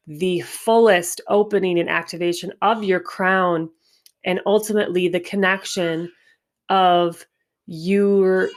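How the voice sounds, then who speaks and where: Slow-spoken stuffy-nosed woman. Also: lowish voice. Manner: unhurried, reflective, with frequent restarts. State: stuffy nose. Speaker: podcast host. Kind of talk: spiritual wellness monologue.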